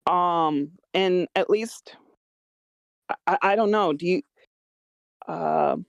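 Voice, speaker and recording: A woman's voice: lowish voice, slow pace, creaky, no room sound, muffled recording. Hesitant woman, close dry sound. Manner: hesitant, stammering. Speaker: screenwriter discussing own script. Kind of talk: casual feedback discussion.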